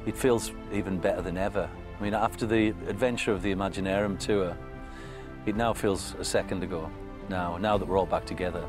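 A man's voice: low-pitched, fairly even intonation, a little fast. A man, bluntly and eagerly describing a new album in a documentary interview. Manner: enthusiastic, blunt, swears casually. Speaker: band member. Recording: music playing underneath.

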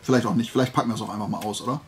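A man's voice: lowish voice, racing, soft background music. A man hosting a casual YouTube haul vlog, talking informally in a rambling way as he thinks aloud. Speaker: YouTube host.

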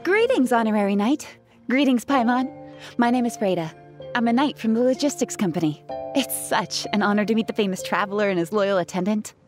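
A woman's voice: medium pitch, brisk, music in the background, natural voice. An actress playing a polite, eager and dutiful young female knight in a video game. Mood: respectful.

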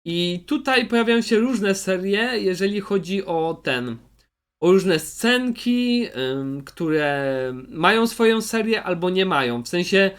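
A young man with a noticeably high voice talks as a YouTuber making recommendations. His delivery is casual and unscripted. He hesitates, rambles and often circles back to repeat what he has said. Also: lively intonation, slow pace, clear, resonant tone. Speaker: YouTuber presenting recommended channels.

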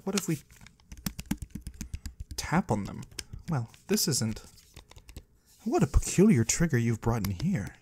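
A man's voice: medium pitch, mellow, slightly rough, full character voice. A man playing a curious otherworldly trader in an ASMR roleplay, musing in quaintly formal words and savoring single ones close to the microphone. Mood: curious, bemused. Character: curious otherworldly male trader of the shadowy void. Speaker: ASMR performer. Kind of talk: ASMR roleplay, soft slow close speech to the listener. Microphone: close microphone, dry.

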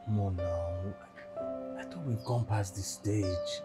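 An actor with a Nigerian accent playing a smooth, persistent, generous suitor in a naturalistic screen drama. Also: male voice, fairly low pitch, takes it slowly, loud music behind the voice. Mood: coaxing.

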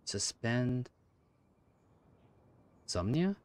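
Slow, halting male gaming streamer. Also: medium pitch, sing-song. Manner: halting, musing, repeats words. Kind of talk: live game reaction commentary, reading text aloud.

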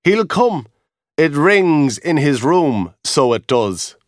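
A man's voice: fairly high pitch, sing-song, slow pace, dry recording, studio quality. A man voicing a confident, easygoing village policeman. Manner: confident, breezy.

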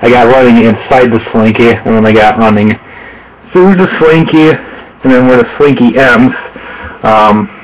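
A man narrating a casual handheld show-and-tell in a halting, rambling way, correcting himself as he goes. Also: medium pitch, highs cut off. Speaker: hobbyist video host. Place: small room at home, indoors. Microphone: handheld camera microphone.

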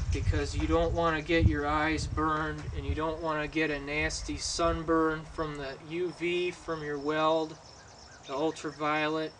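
Young guy teaching welding outside, kinda high voice, slow with lots of ums, crickets faint behind. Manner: halting, many ums and restarts. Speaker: welding instructor. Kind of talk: casual how-to demonstration. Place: outdoors at an open garage door. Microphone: camera mic from a distance. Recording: faint outdoor insect noise. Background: crickets and insects outdoors.

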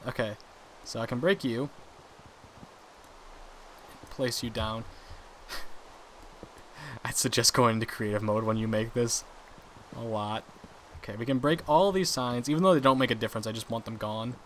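Young man, gameplay commentary, chatty, self-mocking, bright tone, faint background noise. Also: mid-range voice, measured pace, long pauses, low-quality recording. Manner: chatty, laughs often at his own mistakes. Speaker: gaming channel host.

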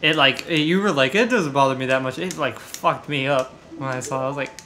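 A man's voice: mid-range voice, brisk, pushed and bright, slight background noise. A young man playing a video game and chatting casually about it as he goes. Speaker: let's play gamer. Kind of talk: casual gaming chat. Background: faint video game music.

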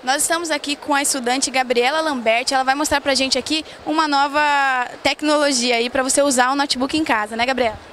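A young Brazilian woman works as a news reporter with a high voice. She speaks into a handheld mic in a large hall, with clear background noise around her. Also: fairly even intonation, brisk, few pauses, fairly bright tone. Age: 20s. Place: large indoor gymnasium fair. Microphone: handheld reporter microphone. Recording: busy fair noise behind.

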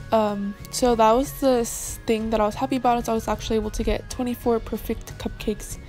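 A young woman narrating a voice-over of her baking footage in a casual, even way with a few fillers. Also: fairly high pitch. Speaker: vlogger.

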